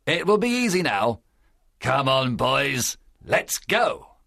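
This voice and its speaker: A man voicing a cunning, commanding pirate leader in a storybook, with a rough, gravelly voice and forceful delivery. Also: mid-range voice, big pitch swings, slow, no room sound, clean studio sound, full character voice. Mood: scheming. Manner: forceful, bossy. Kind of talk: dramatised storybook reading.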